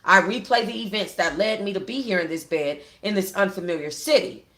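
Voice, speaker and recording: A woman book club reader narrating a first-person story aloud in an informal, expressive way. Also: medium pitch, a little fast. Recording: echoey home room.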